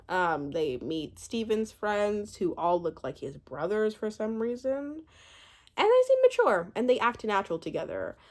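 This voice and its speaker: A woman in her twenties giving a casual, chatty recap commentary, animated and rambling, often breaking off mid-thought. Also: mid-range voice. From born in Uganda, spent part of childhood there. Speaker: YouTube host reviewing a reality show.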